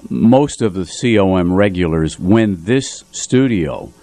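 Deep-voiced, animated radio co-host. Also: male voice, takes it slowly, no room sound. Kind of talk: casual live radio reminiscing. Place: radio studio.

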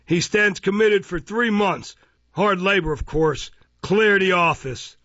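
A man's voice: sits high, takes it slowly, sharp tone, no room sound, muffled recording, pitched above the performer's own voice, heavily acted. A man voicing an irascible, pompous, bullying police magistrate in an audiobook, barking his words with contempt. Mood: barking indignation. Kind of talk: dramatised audiobook reading of a classic novel.

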